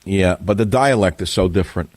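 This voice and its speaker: An older man with a New York accent, hosting a radio talk show. His voice sits low, and his questions come blunt and pointed, rising in emphasis. It is dry and close on a studio mic. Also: brisk, darkish tone, dry recording. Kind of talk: radio call-in interview.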